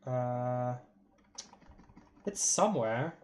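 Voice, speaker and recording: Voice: mid-range voice, lively intonation, drawn-out words, darkish tone. A streamer from Poland with a light Polish accent and Polish as first language, chatting casually on a live stream while reading a webcomic aloud, giggling often and stumbling over long words.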